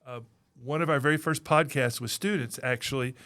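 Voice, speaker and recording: A middle-aged Texan man hosts a relaxed interview, friendly and chatty, often restarting his sentences. His voice sits at an ordinary pitch for a man. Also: brisk. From Texas. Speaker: podcast host and campus leader. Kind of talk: casual interview chat.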